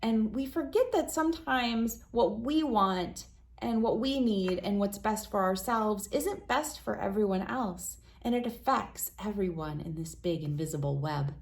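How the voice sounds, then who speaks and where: A woman hosts a read-aloud of a picture book for children. Her voice sits at a medium pitch. She phrases the story deliberately and expressively, as a storyteller does. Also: animated.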